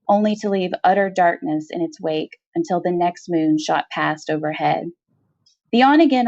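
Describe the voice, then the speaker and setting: A woman reading a short story aloud as expressive scripted narration. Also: on the low side. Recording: dull, roomy home recording.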